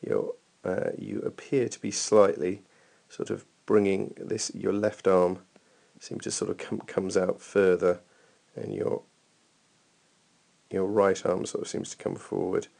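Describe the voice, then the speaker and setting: A man's voice: deep voice, level tone, unhurried, creaky, darkish tone. A man giving informal running technique feedback over a training video as a coach, thinking aloud in a hesitant way.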